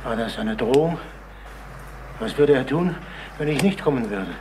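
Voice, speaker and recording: An actor playing a desperate, middle-aged jobless salesman in a crime radio play, halting and agitated. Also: medium pitch, expressive, a little mellow, lightly acted. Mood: distressed. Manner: halting, agitated, repeating himself. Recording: noisy old radio play recording.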